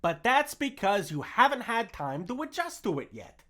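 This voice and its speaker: Wisecracking meerkat sidekick, nasal and forceful, lively pitch swings, wry pep-talker for a cartoon-style comfort roleplay. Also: male voice, moderate pace, exaggerated voice. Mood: earnest pep talk. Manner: wry, pep-talking, streetwise. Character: fast-talking, wisecracking meerkat sidekick, exasperated but caring. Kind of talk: comforting character roleplay with impressions.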